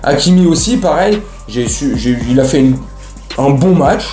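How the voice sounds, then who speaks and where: Guy w doing a football recap, slangy n chatty, mellow voice, echoey room. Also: on the high side, expressive, moderate pace, soft background music. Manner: slangy, chatty, addresses viewers directly. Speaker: host of a football fan show. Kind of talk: casual match recap to camera. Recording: roomy, echoey room sound.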